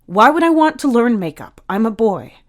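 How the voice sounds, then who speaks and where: A woman's voice: fairly high pitch, sing-song, normal speed, pitched above the performer's own voice. A woman voicing a shy, sulky boy in a storybook reading, hesitant and defensive in manner. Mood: embarrassed, sulky. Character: shy, sulky boy, secretly curious and easily embarrassed.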